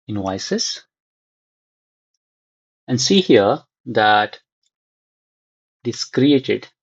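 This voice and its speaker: Husky man giving a software walkthrough, muffled sound. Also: mid-range voice, sing-song, dry recording. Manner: halting, thinking aloud in short fragments. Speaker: software tutorial instructor. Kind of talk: unscripted screen-share walkthrough.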